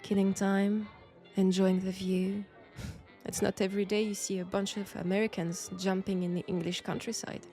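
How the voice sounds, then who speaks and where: A woman's voice: medium pitch, level tone, warm tone, faint music behind, close to an everyday voice. A woman playing a mysterious, flirtatious Frenchwoman in 1940s England, speaking with a French accent in an intimate, coy roleplay audio drama. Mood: curious, teasing. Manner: unhurried, coy, conversational with pauses.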